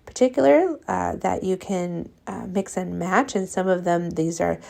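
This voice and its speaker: Croaky breathy lady in her 30s doing a card craft vlog, gravelly, laughs a lot. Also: fairly low pitch, a little slow, warm tone. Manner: chatty, laughs often. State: hoarse, recovering from illness.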